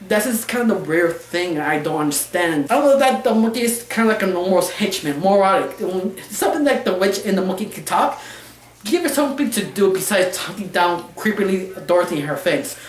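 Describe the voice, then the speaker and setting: Casual female reviewer, mid pitch, opinionated and rambling, halting non-fluent phrasing, roomy echoey recording. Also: lively intonation. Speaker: cartoon reviewer.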